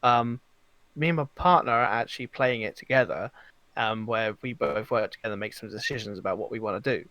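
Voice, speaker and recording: A man's voice: fairly low pitch, a little fast, bright, forceful tone, dry recording. A man co-hosting a podcast in casual chat, hesitant and often searching for his words. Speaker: podcast co-host.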